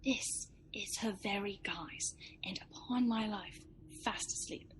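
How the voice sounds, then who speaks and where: A woman's voice: mid-range voice, slow, in a whisper, natural voice. A woman playing a discreet, anxious attendant in a Shakespearean radio drama, guarded and confiding in delivery. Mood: hushed, anxious. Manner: guarded, confiding, often hushed.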